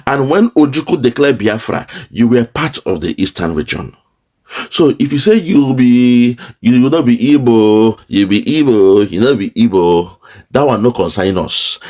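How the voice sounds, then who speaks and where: Lively Nigerian man mixing Pidgin and English. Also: medium pitch. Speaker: online show host reacting to a clip. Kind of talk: casual commentary.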